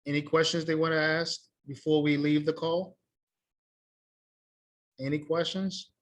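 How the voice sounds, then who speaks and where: A man in his thirties, an English teacher, wrapping up an online class in a relaxed, plain way, repeating himself, heard through a laptop on a video call from a small room. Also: on the high side, a little slow, a little hiss or hum. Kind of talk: casual online class wrap-up. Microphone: laptop webcam on a video call.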